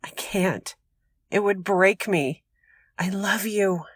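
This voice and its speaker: Grieving, devoted older mother played by a woman: tight husky quaver, slow pace, emotional fantasy radio play. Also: mid-range voice, fairly even intonation. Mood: anguished, tender. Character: anguished, loving older mother, fierce and grieving. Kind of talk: emotional fantasy audio drama acting.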